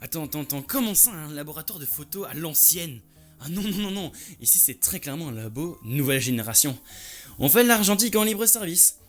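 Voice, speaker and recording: A man's voice: on the high side, lively intonation, brisk, faint music behind, natural voice. A man playing a passionate, touchy lynx who owns a photo lab, talking in an informal, slangy way in an audio story. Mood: indignant, passionate. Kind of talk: French audio story dialogue.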